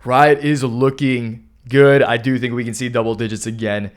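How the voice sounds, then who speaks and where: A young man hosting a stock market video, talking casually through charts with a hoarse voice, often clearing his throat. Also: medium pitch, little pitch movement, normal speed. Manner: keeps clearing his throat, upbeat and hype-driven. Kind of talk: casual chart analysis talk.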